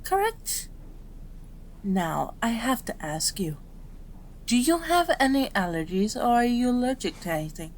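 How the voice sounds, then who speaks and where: Woman acting as a slow-spoken, gentle fox-spirit doctor. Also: on the high side, very animated, slight background noise, rough recording. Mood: pleased, attentive. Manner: soothing, polite, measured. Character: gentle nine-tailed kitsune doctor, female, patient and teasing. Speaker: doctor in an audio roleplay. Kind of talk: ASMR roleplay acting.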